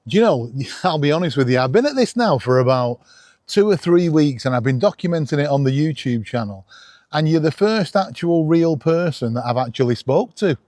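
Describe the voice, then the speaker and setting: Animated middle-aged man. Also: on the high side, brisk, no echo, studio quality. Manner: self-deprecating, enthusiastic. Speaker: YouTube host. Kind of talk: casual chatty vlog.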